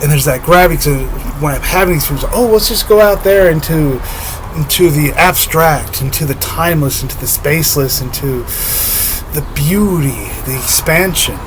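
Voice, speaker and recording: A man talking slowly and dreamily to the camera, giggling softly and trailing off, his voice slightly hoarse. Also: fairly high pitch, background noise. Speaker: spiritual vlogger. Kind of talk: reflective solo monologue.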